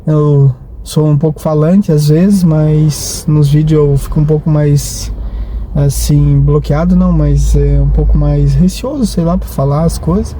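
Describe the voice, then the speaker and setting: A man's voice: fairly high pitch, a little slow, dark tone. A man, vlogging casually in a rambling, hesitant way full of restarts and tag questions. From Brazil. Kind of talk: casual vlog talk. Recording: noisy, with traffic behind.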